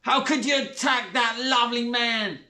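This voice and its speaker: Man playing a shrill, brash stepmother. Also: sharp tone, recorded from a distance, heavily acted. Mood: furious. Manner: shrill, brash, drawn-out oohs. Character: loud coarse spiteful middle-aged stepmother. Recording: roomy echo.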